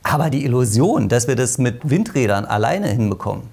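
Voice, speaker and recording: A man's voice: medium pitch, big pitch swings, brisk, creaky, a little mellow. A man from Berlin, a physicist and politician, talking as a guest on a panel with many restarts and hesitations. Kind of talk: discussion panel.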